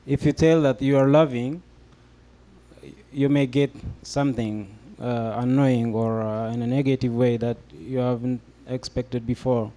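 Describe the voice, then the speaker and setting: A man's voice: mid-range voice, flat delivery, unhurried, dark tone. A young man from Ethiopia speaking on a discussion panel, hesitant and full of fillers as he explains his answer. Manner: hesitant, many fillers and restarts. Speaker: panel guest.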